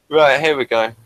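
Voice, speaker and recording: A man's voice: fairly high pitch, fairly bright tone, dry recording, rough recording. A man hosting a casual podcast review, thinking aloud in a hesitant way. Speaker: podcast host. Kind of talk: casual chat reviewing a TV episode.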